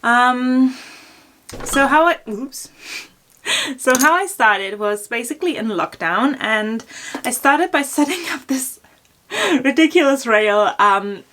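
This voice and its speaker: A woman's voice: a little high, lively intonation, slow pace, pauses often, slight background noise. A woman from Germany with a German accent answering viewer questions in a casual fashion vlog, chatty and full of ums and likes. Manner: chatty, many ums and likes, laughs softly. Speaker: fashion YouTuber.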